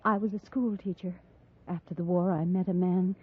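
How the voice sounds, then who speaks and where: On a narrow, muffled vintage radio track, a frontier mother. Her voice is on the low side and husky. She speaks plainly and carefully, lonely but quietly brave, in 1950s radio drama style. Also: steady tone, moderate pace. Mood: wistful, candid. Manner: careful, plain-spoken, subdued.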